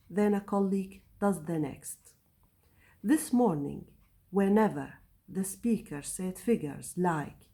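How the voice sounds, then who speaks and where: Woman teacher, slow careful reading, mellow dark tone. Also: mid-range voice. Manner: reads text aloud, glossing words in Arabic. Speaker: English teacher. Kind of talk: slow read-aloud lesson with translations.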